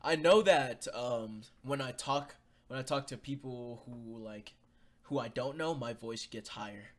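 A young man co-hosting a casual podcast over a video call, animated and giggly, often poking fun at himself. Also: mid-range voice, measured pace. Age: young adult. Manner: animated, giggly, self-deprecating. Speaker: podcast co-host. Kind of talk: casual chat.

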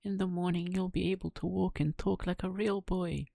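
A man voicing a gentle magical fairy, speaking softly and kindly in a bedtime story. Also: mid-range voice, steady tone, normal speed, vocal fry, no room sound, voice pushed up, close to an everyday voice. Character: gentle magical blue fairy granting life.

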